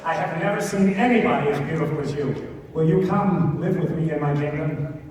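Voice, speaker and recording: A man's voice: on the high side, a little fast, far from the mic, lightly acted. A man playing an earnest, bumbling, lovestruck prince with hesitant comic asides in a live fairy-tale reading. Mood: lovestruck, eager. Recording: echoey convention hall from afar, loud crowd.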